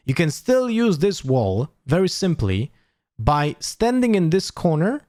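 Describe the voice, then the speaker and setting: A man's voice: fairly high pitch, no echo. This is a man going over game footage, talking things through as they occur to him. His voice rises and falls a great deal and he drops swear words casually. The sound is close and dry, with no room around it. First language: Polish. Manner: animated, swears freely, thinks aloud. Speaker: esports analyst streamer. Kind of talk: casual VOD breakdown.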